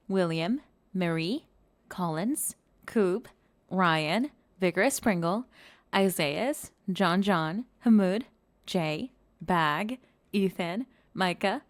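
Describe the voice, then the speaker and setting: A woman's voice: mid-range voice, dry recording. Woman channel host, dry close sound. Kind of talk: scripted outro announcement.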